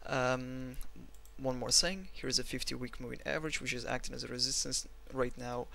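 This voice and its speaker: A man's voice: mid-range voice, steady tone, normal speed, vocal fry, bright, forceful tone. A man with a Russian accent, talking through a chart analysis in a rambling, blunt, self-mocking way. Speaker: trading analyst. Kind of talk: screen-recorded chart analysis.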